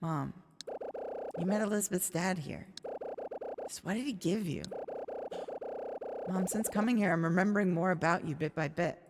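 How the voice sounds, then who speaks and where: A woman with a slightly raspy voice, streaming a story game, reading its text aloud and chatting casually with some swearing. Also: fairly low pitch, moderate pace, pauses often, background noise. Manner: chatty, swearing, laughing, trailing off. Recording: music playing in the background.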